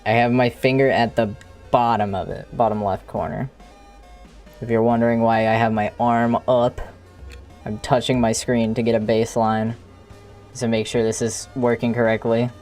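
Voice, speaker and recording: A streamer thinking aloud in a halting, casual way while doing live coding commentary, with an unclear, mid-range voice. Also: medium pitch, level tone, a little mellow. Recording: music playing behind the voice. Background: music.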